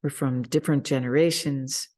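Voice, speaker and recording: A woman giving a reflective sermon as a service leader, deliberate and pausing between phrases. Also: extremely low pitch, fairly even intonation, dark tone.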